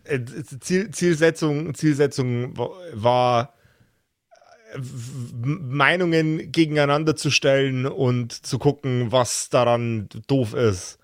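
A man chatting casually as a podcast game master, halting and often restarting words as he searches for phrasing. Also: mid-range voice, no echo, clean studio sound. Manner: halting, searching for words, repeats word starts. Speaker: game master of an actual-play role-playing podcast.

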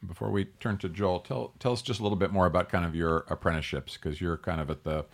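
A man hosting a talk show, introducing his guests and asking them about their paths in a relaxed, conversational way with fillers. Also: low-pitched, quick pace, creaky. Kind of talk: conversational interview.

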